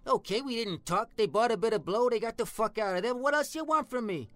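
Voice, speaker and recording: A brash Italian-American hard man in a comedy sketch, with a very high-pitched voice and a New York accent. He is profane, slangy and menacing, recorded close and dry. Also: animated, a little fast, fairly bright tone, no echo. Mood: blustering. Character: foul-mouthed, bigoted Italian-American tough guy, brash and menacing. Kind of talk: comic dialogue acting.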